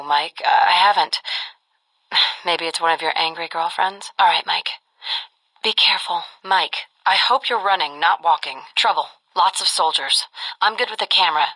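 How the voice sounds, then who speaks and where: A woman's voice: lowish voice, pushed and bright, husky, rough recording. An actress playing a cool, wry, self-possessed woman photojournalist and sniper in a video game. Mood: tense, urgent. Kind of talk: video game voice acting, naturalistic dialogue.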